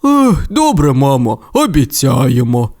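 A storyteller voicing a cheeky young male dinosaur who loves teasing his brother, in a high, playful voice. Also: sing-song, slow. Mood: grudging.

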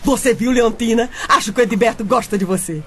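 A woman's voice: a little high, fast talker, background noise, lightly acted. A woman playing a politician's suspicious wife in a radio soap comedy, by turns teasing and scolding.

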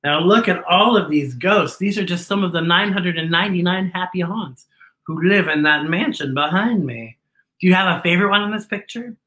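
A middle-aged man reading a picture book aloud to children with theatrical, exaggerated, drawn-out delivery. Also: high-pitched, bright, forceful tone, reverberant. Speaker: children's storytime host.

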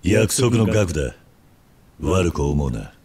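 An actor playing a stoic young yakuza enforcer in a game drama, curt, calm and authoritative. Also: male voice, deep voice, takes it slowly, background noise, heavily acted. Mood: composed. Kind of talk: dramatic video game cutscene acting. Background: music.

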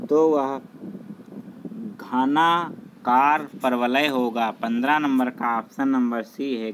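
A man tutors for an exam in a slow, methodical reading voice of medium pitch. He reads each question and then states the correct option in a repetitive pattern. It is a homemade recording with some noise behind him. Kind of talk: scripted reading of multiple-choice exam questions with answers.

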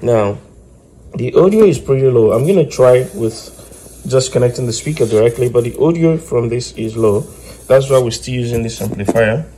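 A man with a mellow, mid-pitched voice talks through a practical electronics demonstration. He explains informally, one step at a time, as a tutorial host. A faint noise sits behind his voice. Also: lively intonation, moderate pace, rough recording. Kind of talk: informal hands-on demonstration narration.

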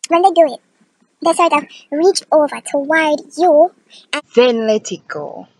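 Woman acting high-voiced, exasperated germ-averse complainer. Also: expressive, a little slow, a little mellow, no echo. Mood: indignant. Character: exasperated woman disgusted by sick people's germs. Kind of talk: theatrical audition monologue.

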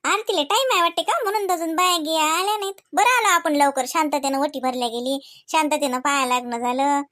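Woman playing a high-voiced, devout village aunt, Varhadi Marathi. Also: fairly quick, a bit bright, no echo, clean studio sound. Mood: chatty. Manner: chatty, homely, instructing. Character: devout, caring village aunt with motherly bossy temper. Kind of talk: comedy cartoon dialogue.

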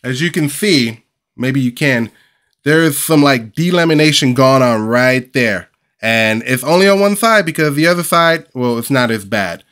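A man's voice: mid-range voice, moderate pace. Nasal-sounding man with a cold. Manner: animated, big hand gestures, exasperated asides. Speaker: 3D printing channel host. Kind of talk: casual vlog talk to camera. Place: small home studio room with collectibles, indoors. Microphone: over-ear headset, webcam framing.

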